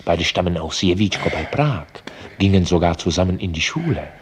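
An actor narrating a German radio drama as a wry, knowing storyteller in a husky, breathy voice. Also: male voice, low-pitched, expressive, normal speed, noisy background. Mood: wry, confiding.

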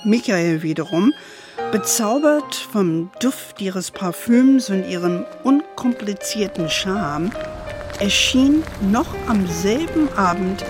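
Woman narrating a kids radio play, slow pace, medium pitch, music behind. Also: expressive. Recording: music under the voice.

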